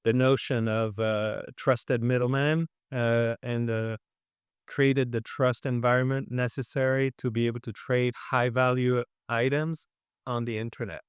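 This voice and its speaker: A man with French as his first language, who grew up in France, speaks with a smooth, resonant voice. He talks in a measured way, pausing on fillers as he tells his story. The sound is dry and close. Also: mid-range voice, steady tone, no room sound. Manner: measured, many fillers and restarts. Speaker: guest, restaurant owner. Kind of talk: casual interview chat.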